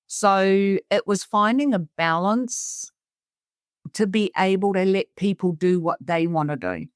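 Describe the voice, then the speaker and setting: A woman's voice: mid-range voice, unhurried, no echo, studio quality. A middle-aged woman with a New Zealand accent, telling her own story conversationally as an interview guest. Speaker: interview guest, cabin resort owner.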